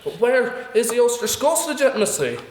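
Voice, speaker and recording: A man's voice: extremely high pitch, sing-song, brisk, darkish tone, a little hiss or hum. A young man with a Northern Irish accent giving an emphatic, combative speech at a student debate, firing off rhetorical questions. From Northern Ireland. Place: university debating chamber. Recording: echoey debating hall.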